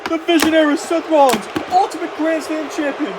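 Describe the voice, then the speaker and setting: A performer playing an excitable, anxious wrestling commentator, giving play-by-play on a noisy home-made recording. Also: high voice, a little fast, natural voice. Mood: alarmed, excited. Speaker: wrestling commentator and ring announcer.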